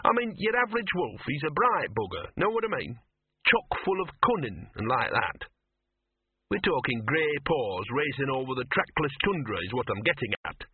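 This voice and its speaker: A man's voice: medium pitch, very animated, moderate pace, breathy edge. A man voicing a cynical, streetwise talking dog in a storybook, grumbling and colloquial. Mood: scornful, opinionated. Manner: grumbling, colloquial, world-weary. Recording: muffled radio-like narrow band, roomy.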